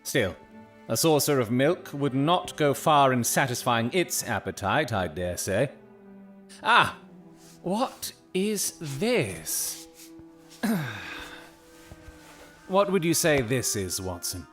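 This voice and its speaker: An actor playing a coolly analytical Victorian gentleman detective in an audio drama, speaking precisely and formally with dry authority. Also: mid-range voice, sing-song, slow pace, pauses often, faint music behind. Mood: keenly observant. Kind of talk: period audio drama acting.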